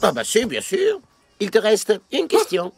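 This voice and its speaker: A man's voice: high voice, wildly swooping pitch, measured pace, creaky, over loud music, exaggerated voice. A man voicing a sly, witty folk trickster in a cartoon dub, playfully innocent. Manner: playful, innocent-sounding cunning. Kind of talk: cartoon dubbing.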